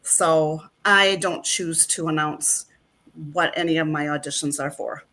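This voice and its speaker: A woman's voice: deep voice, fairly even intonation, unhurried, sharp tone. A middle-aged woman chatting as an interview guest, rambling with plenty of fillers. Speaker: actress and guest. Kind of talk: casual interview chat.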